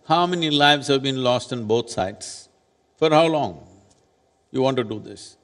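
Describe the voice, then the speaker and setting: A man in his sixties, seated on stage at a summit, answering questions in a measured, deliberate and firm way. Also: medium pitch, fairly bright tone. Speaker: spiritual leader speaking as summit panelist. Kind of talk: onstage panel answer. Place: large conference hall stage. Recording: reverberant conference hall.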